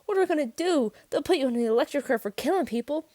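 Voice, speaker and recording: A woman's voice: high-pitched, lively intonation, fast, dark tone, no echo, pitched above the performer's own voice. A woman voicing an emotional younger teenage boy in a story reading, with an intense delivery. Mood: panicked. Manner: intense, pleading. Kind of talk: audiobook-style character dialogue.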